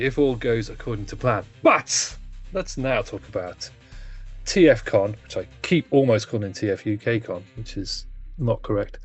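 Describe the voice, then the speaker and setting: A man co-hosting a casual chat, laid-back and prone to laughing and swearing. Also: fairly low pitch. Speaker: co-host.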